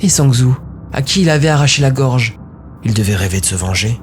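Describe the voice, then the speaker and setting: A man's voice: mid-range voice, animated, a little fast, a little hiss or hum. A man narrating a scripted story dramatically, in short, brooding, suspenseful phrases. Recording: music behind the voice.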